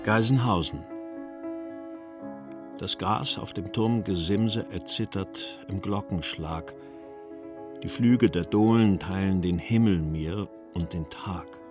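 An actor voicing a weary, wry post-war writer reading his letters and poems in a measured, carefully articulated way. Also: deep voice, over music. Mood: contemplative. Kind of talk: literary reading of letters and verse for a radio feature.

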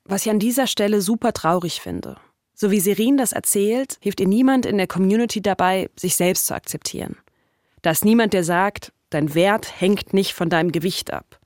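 A woman's voice: medium pitch, normal speed, darkish tone, no echo, studio quality. A woman hosting a podcast, telling a scripted story in a conversational way. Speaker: podcast host and narrator.

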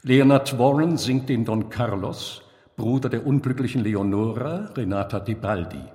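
Male narrator, mid pitch, scripted biographical storytelling, deliberate and dramatic, long reverb. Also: mellow. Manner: deliberate, dramatic phrasing with pauses.

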